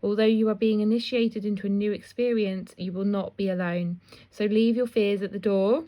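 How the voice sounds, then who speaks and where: A woman with a slightly croaky voice gives a casual spiritual card reading, chatty and self-deprecating. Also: mid-range voice, fairly even intonation, moderate pace, low-quality recording. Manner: chatty, warm asides, often laughs at herself. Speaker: intuitive card reader.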